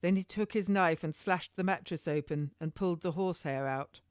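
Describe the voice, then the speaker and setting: A woman's voice: on the low side, moderate pace, clear, resonant tone, no room sound, studio quality. A woman author narrating her own historical novel in the first person, an amateur reader who occasionally stumbles over a word. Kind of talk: scripted first-person storytelling.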